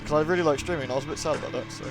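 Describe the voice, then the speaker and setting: A man's voice: a little high, rapid-fire, rough recording. A British man hosting a livestream, chatting informally and in a friendly way as he greets and answers his viewers. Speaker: live streamer, host. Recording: music playing behind the voice. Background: moody music.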